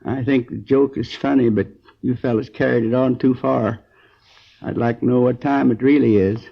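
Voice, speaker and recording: A bewildered, wounded man speaks haltingly from a hospital bed in 1950s radio drama. His weary voice is full of creak and sits at a middle pitch, on a dull old recording. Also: fairly even intonation. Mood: confused, pleading.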